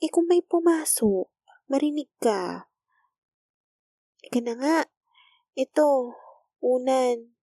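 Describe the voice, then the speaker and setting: Woman playing a slow, gentle Filipino school nurse, teasing. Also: sits high, animated, dry recording, studio quality, heavily acted. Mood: caring, gentle. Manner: soft, intimate, teasing, coaxing. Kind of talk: romantic ASMR roleplay.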